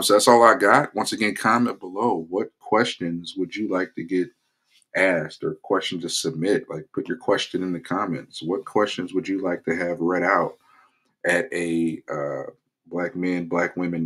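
A man's voice: low-pitched, moderate pace, slightly rough. A man hosting his own political commentary channel, talking loosely in a casual vlog promo with lots of fillers and restarts. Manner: relaxed, rambling with many fillers.

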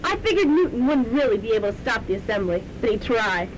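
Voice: very animated, fast talker, natural voice. An actor playing a bossy, scheming female police sergeant villain in a student radio drama, with a commanding, gloating delivery. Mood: gloating confession. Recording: noisy amateur radio drama tape, rumbling.

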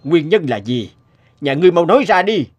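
An actor playing an aging, imperious cartoon emperor who gives commands in a royal manner. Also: male voice, fairly high pitch, big pitch swings, fairly quick, a little mellow, faint music behind. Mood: demanding.

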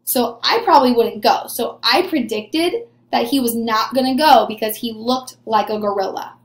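A woman's voice: a little high, moderate pace, roomy sound. A woman in her twenties teaching young children in an encouraging, question-and-answer way, recorded in a small room at desk distance. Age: 20s. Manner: encouraging, asks questions and answers them as if pupils replied. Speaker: early elementary teacher. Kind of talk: animated read-aloud lesson for young children. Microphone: webcam or camera at desk distance.

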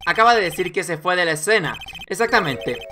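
A woman's voice: fairly low pitch, lively intonation, brisk, loud music behind the voice. A woman reading video game dialogue aloud theatrically, switching between character voices.

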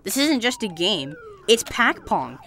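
A woman's voice: mid-range voice, big pitch swings, unhurried, a bit bright. A female performer playing a distracted young boy in a family audio drama. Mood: distracted, protesting.

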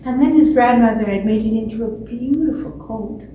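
A woman in her sixties telling a children's story expressively, with dramatic pauses and repetition. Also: on the high side, dark tone. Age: 60s. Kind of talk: animated children's storytelling from memory. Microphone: distant camera microphone. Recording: echoey stage, distant mic, faint noise.

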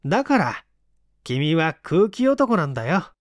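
A man's voice: fairly high pitch, very animated, a little slow, dry recording, studio quality. A man voicing a superior male friend who lectures in an emphatic, chiding way, in a storybook reading. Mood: teasing lecture. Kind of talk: acted dialogue in storybook reading.

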